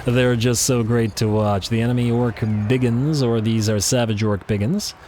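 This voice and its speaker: A man hosting a let's play, giving chatty, excitable play-by-play of a strategy battle while losing his voice a little. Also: mid-range voice, normal speed, slight background noise. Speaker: gaming channel host.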